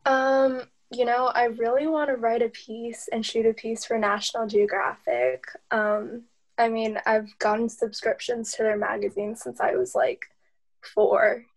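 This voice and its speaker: A woman's voice: a little high, measured pace, poor audio. A young woman talking casually as a panelist on a video call. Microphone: video call microphone.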